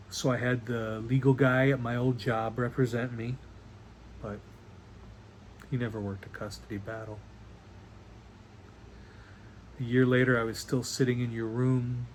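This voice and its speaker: A man playing a doting middle-aged father in a stage monologue over a video call, earnest and halting, stammering as he rambles to his daughter. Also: medium pitch, close to an everyday voice. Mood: pained, wistful. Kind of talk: one-act play monologue performed on video call. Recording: noisy video call audio.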